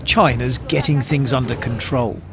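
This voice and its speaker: A man's voice: medium pitch, steady tone, normal speed, loud music behind the voice. A man narrating a scripted news report as a correspondent, with emphatic broadcast phrasing.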